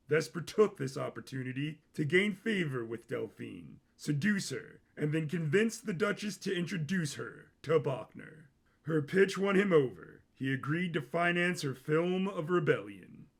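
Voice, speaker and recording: A man's voice: a little high, vocal fry, breathy edge. A man reading scripted dramatic fiction as the narrator of a story.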